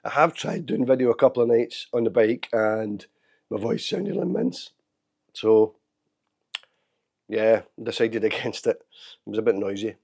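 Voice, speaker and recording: Hoarse, candid man. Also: fairly low pitch, expressive, darkish tone. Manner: open, candid, halting with restarts. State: hoarse, losing his voice. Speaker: channel host. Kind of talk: casual video update. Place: living room at home.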